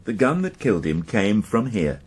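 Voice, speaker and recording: An actor playing a quietly sympathetic police inspector in a radio drama, putting short, probing questions. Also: male voice, fairly low pitch, sing-song, moderate pace, breathy edge. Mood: pointed, insistent. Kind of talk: naturalistic radio drama acting.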